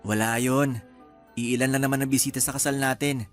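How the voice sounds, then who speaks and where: An actor playing an easygoing, accommodating young fiancé in a Filipino radio drama, with a casual, agreeable manner. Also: male voice, medium pitch, steady tone, brisk, soft background music, lightly acted. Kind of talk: Tagalog radio drama dialogue.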